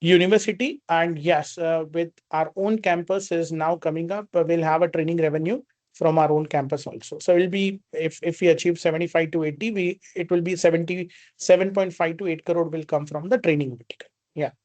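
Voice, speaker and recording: An Indian man speaks as a company executive taking investor questions. His voice sits a little high for a man and comes through very dry, with no room sound. He explains step by step in a spoken, unscripted way. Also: steady tone, fairly quick, a little mellow, dry recording. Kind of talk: earnings call question and answer.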